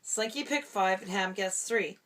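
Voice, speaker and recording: A woman's voice: medium pitch, a little slow, a bit bright. A woman reading a storybook aloud to a small child, with casual asides between the lines. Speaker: parent reading a storybook to a toddler.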